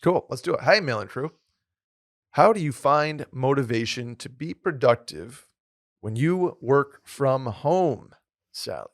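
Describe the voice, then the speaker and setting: A man co-hosting a podcast in casual chat, with a hoarse, slightly nasal voice and a rambling, upbeat way of talking. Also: mid-range voice, sing-song, a little slow, no echo, clean studio sound. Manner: rambling, filler-heavy, upbeat. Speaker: podcast co-host.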